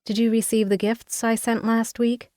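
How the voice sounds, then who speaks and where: A woman voicing a weary young woman visiting her mother, speaking gently. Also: fairly high pitch, flat delivery, moderate pace, dry recording, studio quality, voice pushed up, natural voice. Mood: gentle.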